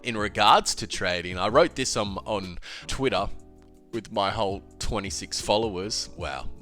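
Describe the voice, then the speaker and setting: A man's voice: on the low side, measured pace, faint music behind. A man with a stuffed-up, slightly nasal voice, talking casually and emphatically about trading in a solo video. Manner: animated, emphatic, with filler and restarts. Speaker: crypto trading educator.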